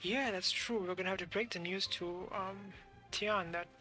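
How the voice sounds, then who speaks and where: A woman's voice: mid-range voice, moderate pace, sharp tone. A woman streaming a game, giving casual off-the-cuff commentary and hesitantly thinking aloud. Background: game music.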